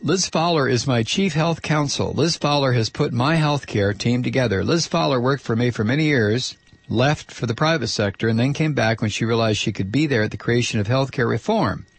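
A man's voice: medium pitch, normal speed, creaky, husky, no room sound. A man hosting a political talk radio show, ranting angrily and sarcastically, and losing his voice.

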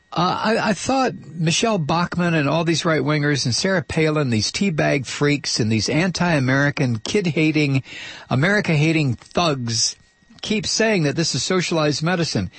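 A man's voice: a little high, husky, no echo. A man hosting a political talk radio show, ranting angrily and sarcastically, and losing his voice.

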